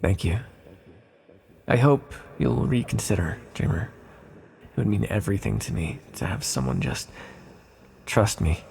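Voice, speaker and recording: A man playing an ancient sealed villain in an ASMR roleplay, speaking hesitantly and courteously in gentle, secretive persuasion with a gravelly voice. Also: on the low side, sing-song, unhurried, long pauses, full character voice. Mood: grateful, wistful.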